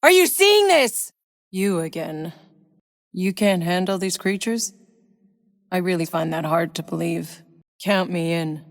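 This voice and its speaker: A woman's voice: fairly low pitch, animated, a little slow, pauses often, in a large hall. An actress voicing a tough, sardonic female sci-fi soldier in a video game, with a gritty, wry delivery. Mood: indignant. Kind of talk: video game voice lines, dramatic acting.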